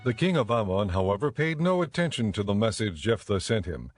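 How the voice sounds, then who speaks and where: A man giving scripted, measured and solemn narration for a dramatized audio Bible. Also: on the low side, big pitch swings, dry recording, clean studio sound. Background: background music.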